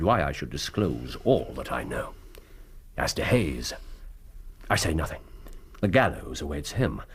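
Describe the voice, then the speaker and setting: Radio drama lead: stern Victorian sleuth, upper-class English, precise, authoritative, highly modulated. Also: male voice, slight background noise. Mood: brisk, commanding. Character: commanding, stern, shrewd Victorian gentleman detective.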